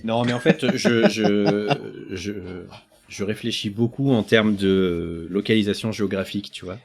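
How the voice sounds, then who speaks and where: A man's voice: fairly low pitch, fairly even intonation, unhurried. A middle-aged man chatting casually, hesitant and often searching for his words. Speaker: podcast co-host. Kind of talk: casual chat.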